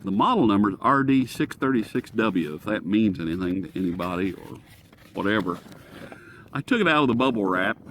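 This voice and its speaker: A man giving a casual, rambling gadget review outdoors, folksy and self-deprecating, easily sidetracked. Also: fairly low pitch. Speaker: product reviewer presenting to camera. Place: outdoors on a breezy day. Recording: breezy outdoor recording with wind noise. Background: light wind noise.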